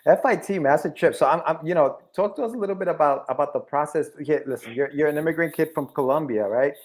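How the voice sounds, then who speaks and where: A male podcast host rattles along quickly in a casual, chummy way. He circles back and repeats his phrases as he talks. His voice sits a little high. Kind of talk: casual interview chat.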